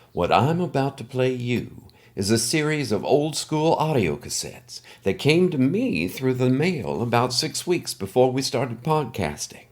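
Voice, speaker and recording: A man's voice: medium pitch, darkish tone. A man playing a folksy, eccentric podcast host who narrates in a theatrical, chummy, wry way. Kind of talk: scripted comedic podcast narration.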